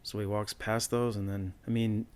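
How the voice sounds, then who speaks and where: A low, flat male voice, an actor as an everyday interviewee in an audio drama. He puzzles aloud in a plain, matter-of-fact way. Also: normal speed, close to an everyday voice. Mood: puzzled. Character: puzzled ordinary man interviewed about a disappearance.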